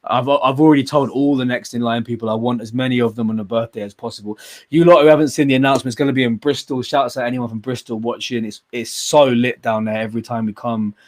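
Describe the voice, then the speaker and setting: A man's voice: medium pitch, fairly even intonation, quick pace, darkish tone. A young man hosting a battle rap livestream, talking casually and animatedly, swearing freely and laughing often. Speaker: host of a battle rap stream.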